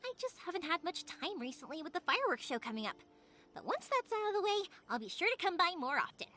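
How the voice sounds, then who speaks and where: An actress voicing a cheerful, energetic young fireworks maker in a video game, bubbly and reassuring. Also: female voice, very high voice, fast, heavily acted. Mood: eager, reassuring. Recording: music behind the voice.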